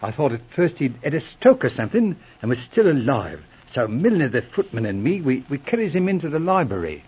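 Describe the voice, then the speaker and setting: An actor playing a shaken, deferential butler in a 1940s radio drama, subdued and servile, with simple ungrammatical speech. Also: male voice, medium pitch, big pitch swings, brisk, hardly any pauses, breathy edge. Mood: subdued, grave. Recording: muffled old radio recording.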